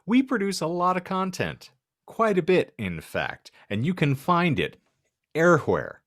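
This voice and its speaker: A man's voice: fairly high pitch, big pitch swings, measured pace, no room sound, studio quality. A man hosting a podcast in casual banter, joking with mock-grand announcer phrasing. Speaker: podcast host.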